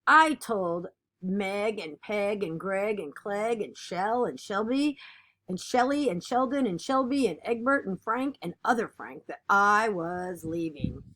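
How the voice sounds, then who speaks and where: A woman voicing an earnest, dutiful egg from a children's picture book, with expressive and deliberate storytelling. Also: mid-range voice, a little hiss or hum. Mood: weary, fretful.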